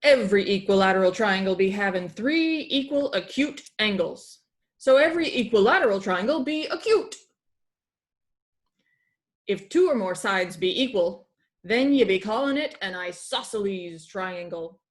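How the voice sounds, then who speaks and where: A woman's voice: medium pitch, expressive, normal speed, lightly acted. A woman voicing a hearty pirate captain creature who teaches woodworking in declamatory pirate speech for a storybook. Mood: instructive.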